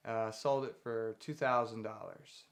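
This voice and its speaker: A man's voice: fairly low pitch, steady tone, a little slow, vocal fry, background noise. A man who hunts fossils, talking casually in a documentary interview. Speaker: fossil hunter.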